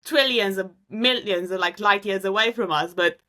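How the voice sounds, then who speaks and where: Young woman scientist from Romania, chatty and rambling, bright voice. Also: medium pitch, moderate pace. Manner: rambling, many fillers and restarts. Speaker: astrophysicist guest. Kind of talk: casual interview answers.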